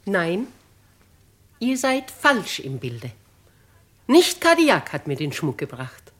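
A woman's voice: lowish voice, wildly swooping pitch, slow pace, exaggerated voice. An actress playing a dignified, steadfast noblewoman in a 1950s radio play, earnest and resolute. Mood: firm, earnest. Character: dignified, steadfast noblewoman defending an accused man.

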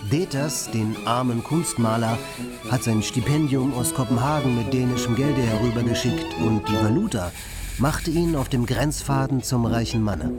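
A man's voice: mid-range voice, moderate pace, loud music behind the voice, natural voice. A man acting as an ironic, dryly satirical narrator in a literary radio play, speaking in an articulate, pointed and theatrical way. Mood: dry irony.

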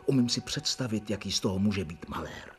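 An actor playing a devoted young doctor in a radio play, speaking earnestly and respectfully. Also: male voice, on the low side, lively intonation, soft background music, close to an everyday voice. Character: devoted young male doctor, the assistant and narrator. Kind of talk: 1990 radio play acting.

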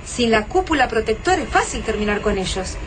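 A woman's voice: a little high, big pitch swings, fairly quick, background noise. An actress with an Argentine accent playing an alluring young woman survivor in a sci-fi radio drama. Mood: earnest, urgent.

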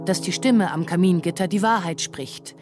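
A woman narrating a literary radio drama in a deliberate, observational way. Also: fairly low pitch, music in the background.

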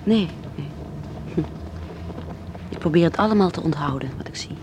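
A woman's voice: fairly low pitch, animated, moderate pace, noisy background, lightly acted. An actress playing an affectionate, wistful young woman in a conversational radio drama. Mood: wistful, eager.

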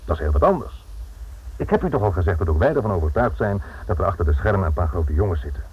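An actor playing a stern, dryly sardonic police inspector in a Dutch radio drama, speaking formally and commandingly with a Netherlands accent. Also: male voice, deep voice, expressive, fast, breathy edge, full character voice. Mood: reproachful, dry. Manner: formal, commanding, faintly sarcastic. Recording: muffled, narrow-band old radio recording.

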